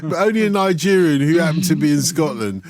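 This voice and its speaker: A man's voice: on the high side, lively intonation, fast talker, a little mellow. A man hosting a radio phone-in, chatting casually, teasing his guests and laughing often.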